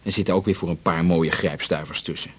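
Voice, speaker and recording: A practical husband in a radio drama, with a low, husky voice. He is probing and a touch sardonic, halting when unsure of his words. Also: steady tone.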